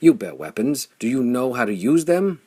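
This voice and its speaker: A man voicing a bold, urgent warrior hero of pulp space adventure, commanding and raspy. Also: mid-range voice, lively intonation, normal speed, dark tone, voice pushed up, lightly acted. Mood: forceful, determined.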